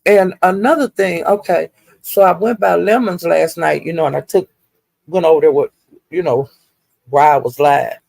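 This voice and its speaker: A woman's voice: lowish voice, gravelly, darkish tone, dry recording. An older woman, chatting casually to live viewers as a livestream host in a folksy, rambling, salty-tongued way.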